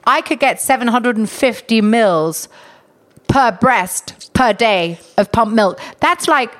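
A woman's voice: on the high side, animated, slow pace. A woman from Denmark, a host, frankly and animatedly telling a story in casual chat.

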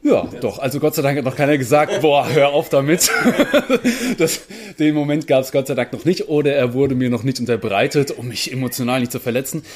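A man's voice: medium pitch, fairly quick, few pauses, darkish tone. A man, a stage actor, talking casually as a guest in an interview.

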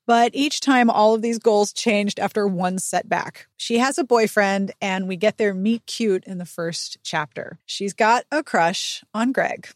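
A woman's voice: mid-range voice, a little slow, few pauses, no echo, clean studio sound. A woman hosting a casual book recap chat, talking playfully with dramatic emphasis. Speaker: podcast host.

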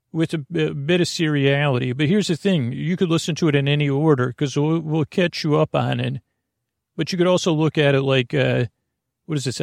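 A man hosting a bedtime podcast in a meandering, halting monologue, often interrupting himself and drifting off on tangents, with a creaky voice. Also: mid-range voice, dry recording, studio quality. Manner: halting, digressive, self-interrupting. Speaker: podcast host.

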